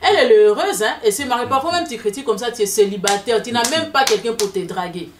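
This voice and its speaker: Lively woman, echoey room. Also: on the high side, fast, recorded from a distance, noisy background. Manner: lively, mixes Lingala into French. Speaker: guest. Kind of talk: opinionated discussion. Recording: echoey room, speaker off mic.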